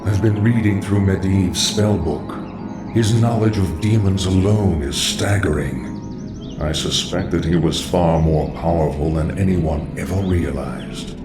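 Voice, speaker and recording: Echoing undead lich. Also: male voice, sits low. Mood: cold. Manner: measured, solemn and hollow. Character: undead lich sorcerer, cold and scheming. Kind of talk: video game cutscene acting. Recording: echoey and distant over loud music.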